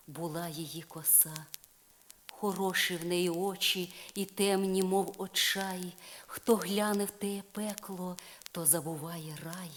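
Slow, solemn woman reciting poetry. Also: fairly low pitch. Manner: measured, lyrical, often hushed. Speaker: poetry narrator. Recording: crackly, clicking vinyl record.

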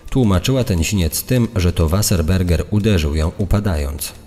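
A man playing an indignant journalist, reading an old press article in a formal, measured, accusatory manner. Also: deep voice, expressive, mellow, soft background music. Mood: suspicious. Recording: long hall-like reverb.

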